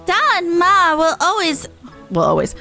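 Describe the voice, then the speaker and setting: A woman voicing a bold, excitable little girl from a video game, in an exclamatory, childlike manner. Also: extremely high pitch, normal speed, vocal fry, soft background music, voice pushed up, exaggerated voice. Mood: thrilled fright.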